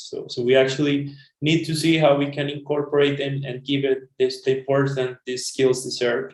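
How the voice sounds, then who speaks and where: A man from Colombia, a panelist and school founder, speaking earnestly and briskly. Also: mid-range voice. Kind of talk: online panel remarks. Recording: echoey room.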